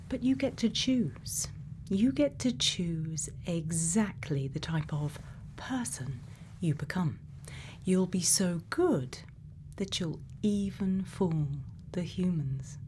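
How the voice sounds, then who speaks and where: A woman playing a gentle, quietly kind scientist in a soft, often whispered ASMR roleplay, soothing and reassuring with many pauses. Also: fairly low pitch, lively intonation, slight background noise. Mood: tender, reassuring. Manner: slow, soothing, pausing, reassuring. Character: gentle female scientist, quietly kind, programming a robot friend.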